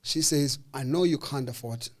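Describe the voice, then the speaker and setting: A man with a South African accent telling a personal story in a casual interview, acting out the people in it and pausing on fillers. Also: mid-range voice. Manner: animated, acts out dialogue with voices, hesitant fillers. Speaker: interview guest.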